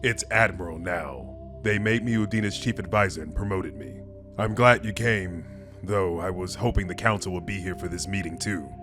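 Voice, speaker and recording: A man's voice: sits low, moderate pace, music in the background, natural voice. A man voicing a seasoned, frank space admiral with a blunt, wry military manner, as in a sci-fi game. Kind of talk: sci-fi game character demo.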